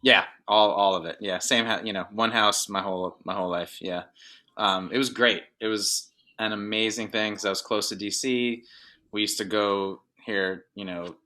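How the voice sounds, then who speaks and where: A man's voice: lowish voice, fairly even intonation, moderate pace, sharp tone. A middle-aged man from Northern Virginia, a drummer guest in a casual interview, talking in a rambling way with many fillers and restarts.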